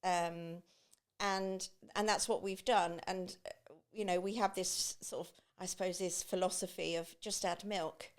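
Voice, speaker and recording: A woman's voice: lowish voice, fairly even intonation, a little slow. A middle-aged woman with an East Anglian English accent, chatting and telling personal stories, acting out remembered remarks as she goes. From Great Yarmouth, England. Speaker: podcast guest, beach hut business owner.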